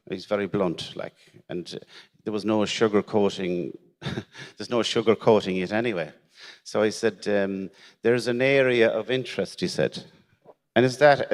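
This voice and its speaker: A middle-aged Irish man with a lowish voice, recorded with a long hall reverb. His first language is Irish, and he tells his story haltingly, often repeating words and laughing wryly. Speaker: shed member telling his story. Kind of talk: personal story at a conference. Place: conference hall.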